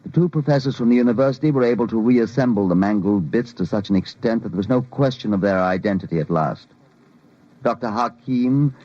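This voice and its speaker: Stern detective, low voice, measured formal delivery, 1950s radio drama, dull recording. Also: male voice, dark tone. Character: stern, methodical male Scotland Yard detective narrator.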